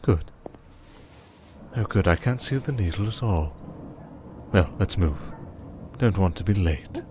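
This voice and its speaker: A man playing a cold vampire archduke and crime lord in an ASMR roleplay, speaking in a measured, formal, condescending way. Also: low voice, expressive, a little mellow, a little hiss or hum. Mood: appraising. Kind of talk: ASMR roleplay audio drama.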